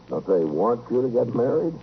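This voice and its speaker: A man playing a steady, dry-humored American frontier marshal in 1950s radio western style, easygoing and wry. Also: medium pitch, measured pace. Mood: calm, probing. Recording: muffled, noisy old radio recording.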